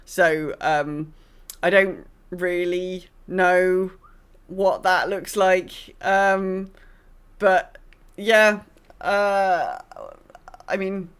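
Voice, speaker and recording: A woman's voice: lowish voice, steady tone, drawn-out words, pauses often, smooth. A woman co-hosting a podcast, chatting casually about video games in an informal, opinionated way, with some mild swearing. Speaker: podcast co-host.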